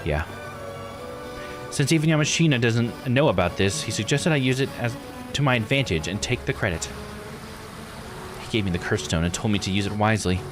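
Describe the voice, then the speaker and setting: A man's voice: on the low side, lively intonation, fairly quick, pauses often, music in the background, close to an everyday voice. Man voicing a bitter, proud island woman diver. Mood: resigned, confiding. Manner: resentful, haughty, blunt. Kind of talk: visual novel character read-aloud.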